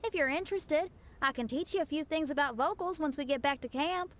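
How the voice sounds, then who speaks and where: A woman's voice: high voice, a little fast, close to an everyday voice. A woman playing a friendly, easygoing young musician who is encouraging, in a video game.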